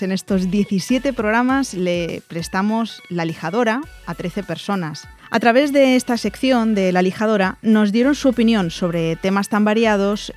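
Brief: female radio co-host, mid-pitched, chatty delivery with slips, faint music underneath. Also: darkish tone. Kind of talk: scripted radio presenting.

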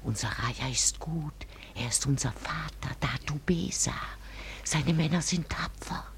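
An actress playing an old Malay wife in a German radio drama, nagging and scheming, with insistent, bitter demands in a hissing, creaky voice. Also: female voice, low-pitched, unhurried. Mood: urgent, wheedling. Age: older. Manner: insistent, repetitive pleading and demanding.

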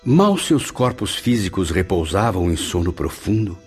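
A man's voice: sits low, big pitch swings, a little slow, breathy edge, soft background music, exaggerated voice. An actor playing a repentant aristocrat's spirit, narrating his memories in a solemn, theatrical radio drama style. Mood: solemn, reflective.

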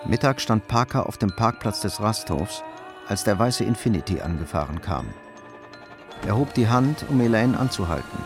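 A man's voice: on the low side, expressive, normal speed. Male crime drama narrator over music.

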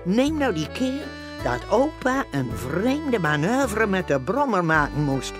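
A woman narrating a comic radio serial. She tells the tale in a mid-pitched, very animated voice. Music plays clearly behind her. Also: takes it slowly. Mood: amused recollection. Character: woman storyteller recalling rest-home adventures. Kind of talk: scripted narration in a radio comedy.

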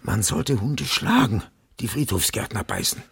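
An actor in a radio play as a chatty, unruffled, slightly odd postman, husky and gravelly, with a dry, matter-of-fact manner. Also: on the low side, animated, unhurried, fairly bright tone, heavily acted. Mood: whimsical, unruffled. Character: chatty, odd, unruffled male letter carrier. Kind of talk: radio drama acting.

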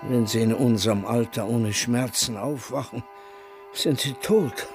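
A man's voice: medium pitch, measured pace, over music, natural voice. An older man acting a grumbling, teasing, hard-of-hearing man in his nineties in a radio play. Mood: aching, complaining.

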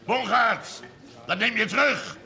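A man's voice: high voice, slow pace, creaky, bright, forceful tone, exaggerated voice. An actor playing an indignant village man in a Dutch period TV drama, speaking coarsely and confrontationally. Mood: angry, indignant. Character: indignant, combative village man defending his honour. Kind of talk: 1970s Dutch television period drama acting.

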